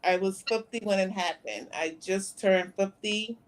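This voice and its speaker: A woman in her fifties, a guest on a talk show, recounting events in a plain, soft-spoken way. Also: mid-range voice, unhurried, poor audio. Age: 50s. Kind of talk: interview conversation.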